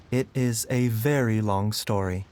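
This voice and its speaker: A man voicing a calm, gentle young doctor who speaks in a measured, reassuring way. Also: fairly low pitch, a little hiss or hum.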